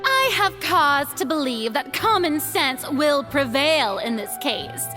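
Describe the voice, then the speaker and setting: An actress playing a haughty, theatrical young goddess on trial in an anime game, speaking in grandiose declamation. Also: female voice, high voice, very animated, takes it slowly, music in the background, heavily acted.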